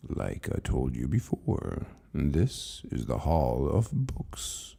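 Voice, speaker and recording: A man voicing a benevolent, unhurried old keeper of a mysterious library in a story reading, speaking in a hushed, measured, gentle way. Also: extremely low pitch, sing-song, gravelly, husky, voice pushed down, heavily acted. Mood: calm, solemn. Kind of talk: dramatized short story reading.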